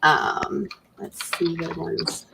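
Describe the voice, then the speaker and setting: A woman's voice: on the low side, steady tone, very slow, gravelly, bright, forceful tone, a little hiss or hum, poor audio. A woman co-hosting a livestream, chatting casually with the others. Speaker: co-host of a reading livestream.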